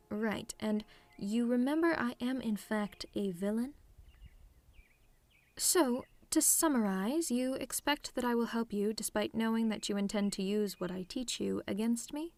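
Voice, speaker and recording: A woman playing a proud, sardonic telepathic villainess who is secretly soft, in a dramatic, teasing ASMR roleplay addressed to the listener. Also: medium pitch, no echo, natural voice. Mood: wary, probing, then indignant, contemptuous. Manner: haughty, dramatic, teasing, exasperated.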